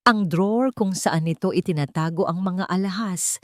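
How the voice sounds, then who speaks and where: Lowish-voiced woman narrating a drama. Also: dry recording, studio quality. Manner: measured, dramatic storytelling. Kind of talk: scripted romance story narration.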